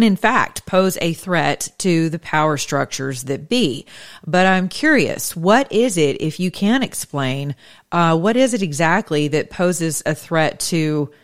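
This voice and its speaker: A woman hosting an interview, asking questions in a thoughtful, hesitant way with many fillers. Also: deep voice. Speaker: interview host.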